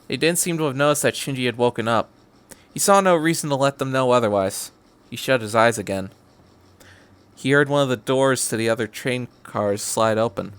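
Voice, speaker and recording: A man's voice: medium pitch, fairly quick, fairly bright tone, clean tone, no room sound. Man dramatically reading a story aloud. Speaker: podcast host reading fan fiction aloud.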